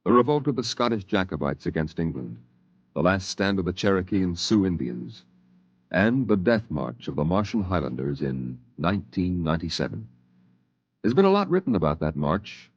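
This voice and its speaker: A man narrating a 1950s radio drama as a grown man recalling his youth, in a measured, reflective way. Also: deep voice, sing-song, breathy edge, dry recording, full character voice. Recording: dull, muffled old radio broadcast.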